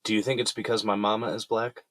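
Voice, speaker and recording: A man's voice: on the low side, little pitch movement, fast, a bit bright, no echo, studio quality. A man playing an easygoing, teasing character in an audio drama, talking casually and jokingly as if with family.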